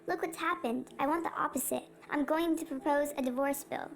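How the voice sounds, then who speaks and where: A woman's voice: sits high, a little fast, creaky, a little hiss or hum. A woman playing a beleaguered, anxious male president in an amateur history puppet show. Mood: defensive, firm. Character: beleaguered male president Martin Van Buren, anxious and defensive.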